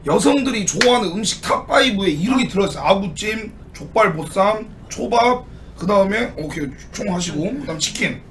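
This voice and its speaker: Young male streamer, lively pitch, bright tone, mic a bit far with faint room noise. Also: fairly quick. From Gwangju, South Korea. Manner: polite, joking, repeats thanks. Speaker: streamer host. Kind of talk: casual drinking stream chat.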